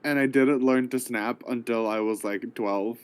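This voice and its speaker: A man's voice: medium pitch, steady tone, moderate pace, a little hiss or hum. A man player in casual chat, blunt and jokey.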